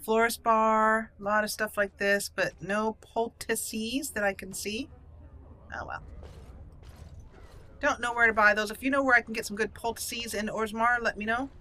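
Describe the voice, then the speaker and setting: A woman's voice: fairly high pitch, fairly even intonation, moderate pace, pushed and bright. A woman giving casual commentary while she plays and roleplays through a video game. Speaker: gaming channel host playing a roleplay.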